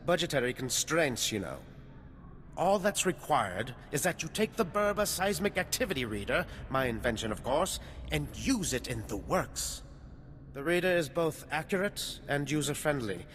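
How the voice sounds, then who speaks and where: A man's voice: fairly high pitch, big pitch swings, normal speed, fairly bright tone. A man playing a self-important, fame-hungry scientist in a video game, with a formal, verbose, pompous manner. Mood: pompous, eager. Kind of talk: video game character dialogue. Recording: reverberant hall with background noise.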